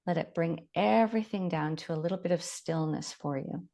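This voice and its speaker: Low-pitched woman guiding meditation, slightly creaky. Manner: gentle, unhurried instruction with long pauses. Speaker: meditation guide. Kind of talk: guided body scan meditation.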